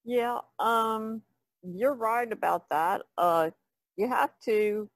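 A woman's voice: fairly high pitch, takes it slowly, no room sound. A woman speaking casually as a panelist in a podcast discussion.

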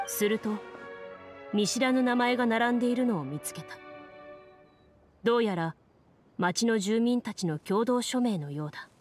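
A woman's voice: fairly high pitch, animated, close to an everyday voice. A woman playing a composed game master, narrating solemnly and formally in an anime game. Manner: measured, formal. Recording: music behind the voice.

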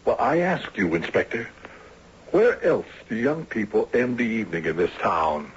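An actor playing a proud, protective, indignant wealthy father in a radio drama, with a husky voice. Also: on the low side, sing-song, normal speed, full character voice. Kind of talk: 1970s radio drama acting. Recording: dull old radio broadcast recording.

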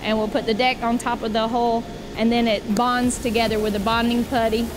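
A woman in her forties giving a brisk, explanatory walking tour of a factory workshop. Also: a little high, level tone, background noise. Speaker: boat company guide. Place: large factory workshop indoors.

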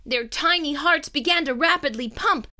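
A woman's voice: sits high, normal speed, sharp tone, a little hiss or hum. A woman reading a children's story aloud in a suspenseful, theatrical and emphatic way. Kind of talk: dramatic read-aloud narration.

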